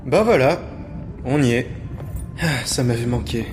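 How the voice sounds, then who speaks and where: An actor playing a sly, cheeky thief in an audio drama, glib and informal with a theatrical streak. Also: male voice, mid-range voice, lively intonation, measured pace, close to an everyday voice. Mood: casual, offhand. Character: sly, cheeky male thief, flattering and self-serving.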